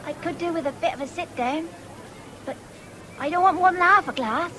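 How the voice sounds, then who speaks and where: A woman's voice: very high voice, moderate pace, sharp tone, some chatter behind. An actress playing a thrifty, forthright young country woman with a rural English accent in a 1970s radio drama, coy and scolding. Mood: gently scolding. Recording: noisy old radio broadcast, fairground din.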